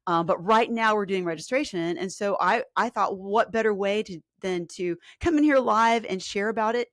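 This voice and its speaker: A woman in her forties, a fitness coach, talking casually on a live stream with a hoarse, scratchy voice and lots of ums. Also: mid-range voice, moderate pace, no room sound, clean studio sound. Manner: chatty and earnest, with filler ums and restarts. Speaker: fitness coach and podcast host.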